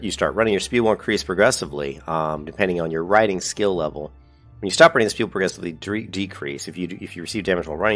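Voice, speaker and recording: A very deep-voiced man talks through a gaming video while fighting a cold, and his voice sounds stuffy and congested. He rambles, corrects himself mid-sentence and gets upbeat over features he likes. Faint music plays underneath. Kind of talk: casual commentary reading a website.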